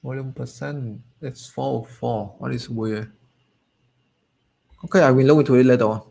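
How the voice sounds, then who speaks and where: A man whose first language is Vietnamese, casually talking through his code as he writes it, muttering to himself with lots of fillers, his voice worn low from talking. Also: steady tone, normal speed, gravelly, warm tone. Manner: thinks aloud, muttering to himself with frequent fillers. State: voice getting low and tired. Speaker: coding tutorial host. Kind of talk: casual live coding walkthrough.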